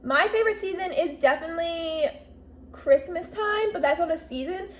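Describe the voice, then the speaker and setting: A woman's voice: very high voice, normal speed. A woman in her twenties chatting casually in a bubbly, talkative way, peppering her speech with "like" and "literally". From US Northeast. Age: 20s. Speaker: YouTuber. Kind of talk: casual Q&A vlog.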